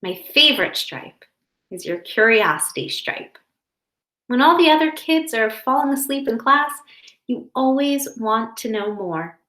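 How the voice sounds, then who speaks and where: A woman reads as a kind storybook mother comforting her little one. Her voice sits fairly high and her phrasing is tender and deliberate. The sound is clearly roomy and echoing. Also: expressive, bright, forceful tone. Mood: reassuring, loving.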